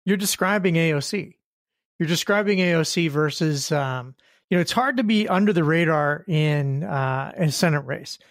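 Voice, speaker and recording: A man with a somewhat high voice argues on a political panel, blunt and sure of himself, hammering the same verdict again and again. The recording is close and dry. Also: moderate pace, dry recording. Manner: blunt, emphatic, repeats his verdicts. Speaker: co-host.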